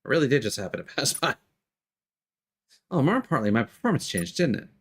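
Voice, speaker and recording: A man's voice: medium pitch, sing-song, fast talker, a bit bright, breathy edge. A man voicing an earnest, driven young female musician while reading anime game dialogue aloud. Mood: earnest, insistent.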